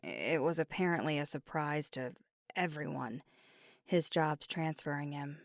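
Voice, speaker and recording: An earnest, devoted werewolf girlfriend played by a woman in an intimate ASMR audio roleplay. Her voice sits on the low side and comes through dry and close. She takes her time, choosing words with hesitant, conversational sincerity. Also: expressive, measured pace, vocal fry, dry recording, heavily acted. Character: devoted, earnest young werewolf girlfriend weighing big news.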